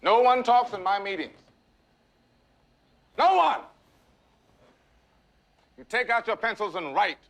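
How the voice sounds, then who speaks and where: A man's voice: sits high, sing-song, slow pace, creaky, pauses often, a bit bright, muffled recording, exaggerated voice. An actor playing a stern, domineering male school principal who barks orders at a room in a film drama. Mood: stern, commanding. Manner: commanding, barking orders.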